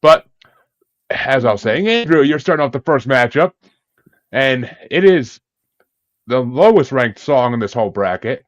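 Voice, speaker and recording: A man hosts a music ranking podcast in an informal, sweary way. His middle-pitched voice comes through dry and a little muffled, as if on a lo-fi microphone. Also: expressive, measured pace, pauses often, no echo. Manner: casually profane. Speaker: host of a music ranking show. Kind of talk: casual podcast chat. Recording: dull, lo-fi microphone sound.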